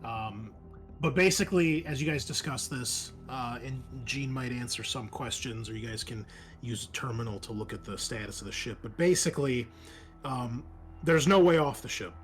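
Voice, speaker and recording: Guy game mastering, medium pitch, kinda hesitant explaining the scenario, soft music underneath. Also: normal speed. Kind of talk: explaining a tabletop roleplaying scenario to players. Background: background music.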